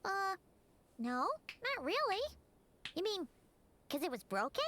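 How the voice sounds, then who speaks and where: A woman voicing a tiny, childlike, excitable and timid fairy companion in a video game, with a squeaky, expressive delivery. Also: measured pace, a little hiss or hum, exaggerated voice. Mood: wary, chatty. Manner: squeaky, expressive, speaks in third person.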